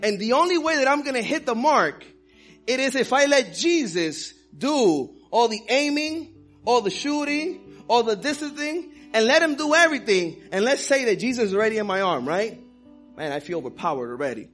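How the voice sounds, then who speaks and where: Pastor, middle-aged man, from the Dominican Republic, high-pitched, fervent preaching, faint guitar underneath. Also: expressive, normal speed, fairly bright tone. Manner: impassioned preaching with personal stories. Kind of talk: sermon.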